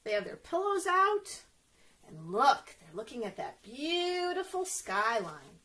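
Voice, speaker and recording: A woman's voice: sits high, sing-song, takes it slowly, pushed and bright. A woman leading an interactive story time as a children's librarian, encouraging and sing-song, often putting questions straight to young listeners.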